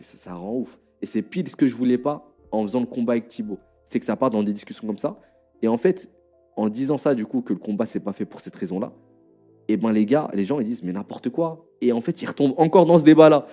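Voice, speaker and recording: A tired man explaining himself hesitantly, with many pauses and restarts. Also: lowish voice, dark tone. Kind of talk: casual explanation.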